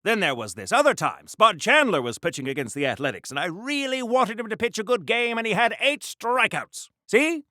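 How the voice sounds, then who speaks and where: A man's voice: high voice, sing-song, moderate pace, hardly any pauses, bright, forceful tone, dry recording, studio quality, exaggerated voice. An actor playing an earnest, boastful, chattering American superhero man from the 1940s, in theatrical old-time radio serial style for a video game. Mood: chatty storytelling. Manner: wholesome old-time slang, theatrical, rambling.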